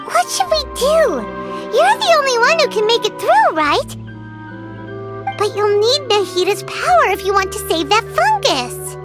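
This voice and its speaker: A woman voicing a tiny, chatty, floating girl companion in a video game, who talks excitedly in exclamations. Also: extremely high pitch, measured pace, heavily acted. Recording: game soundtrack playing loudly behind. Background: game soundtrack music.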